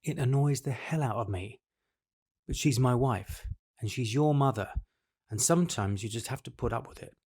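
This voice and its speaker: A man's voice: medium pitch, expressive, normal speed, darkish tone, breathy edge. A man acting a resigned, patient middle-aged husband and father in an audio drama, speaking in a matter-of-fact, weary way. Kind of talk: audio drama acting, confessional monologue and dialogue.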